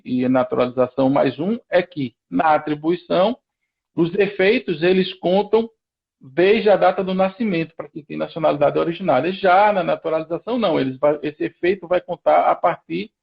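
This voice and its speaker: Gravelly Pernambuco-accented man explaining. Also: high voice, muffled recording. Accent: Pernambuco Brazilian Portuguese. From Recife, Brazil. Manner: methodical, explains step by step with frequent restarts. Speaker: immigration lawyer guest. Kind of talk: explanatory live-stream interview.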